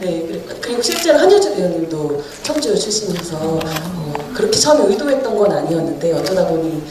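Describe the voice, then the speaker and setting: A woman's voice: fairly low pitch, big pitch swings, brisk. A woman film director from Cheongju answering audience questions at a festival screening, thoughtful and often laughing as she explains. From Cheongju, South Korea. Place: large cinema hall. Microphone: handheld mic heard from far in the hall. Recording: echoey hall audience recording, distant and noisy.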